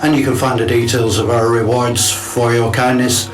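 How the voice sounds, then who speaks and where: A man reads a scripted appeal in a mid-pitched voice. He sounds roomy and away from the mic, with guitar music behind. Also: little pitch movement, normal speed, distant mic.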